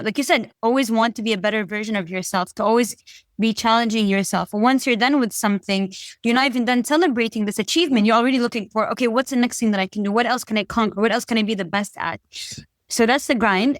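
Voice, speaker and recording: A woman's voice: fairly high pitch, fast talker, dry recording, studio quality. A woman hosting a podcast, enthusiastic and upbeat, laughing easily. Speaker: podcast host. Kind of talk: casual interview hosting.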